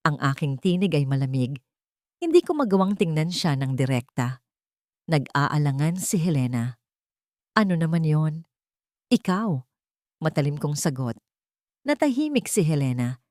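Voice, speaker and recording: A woman's voice: low voice, big pitch swings, moderate pace, a little mellow, no echo, clean studio sound. A woman narrator reading a scripted first-person story in a dramatic storytelling style.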